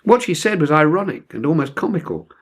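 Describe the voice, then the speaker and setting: A man reading a novel aloud as dramatic literary narration, expressive and measured. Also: a little high. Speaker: audiobook reader.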